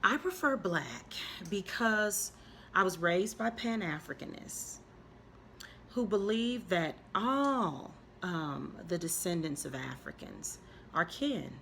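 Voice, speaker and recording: A woman host giving reflective commentary in a thoughtful, measured way. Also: lowish voice, animated, creaky, fairly bright tone, a little hiss or hum. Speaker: host and interviewer.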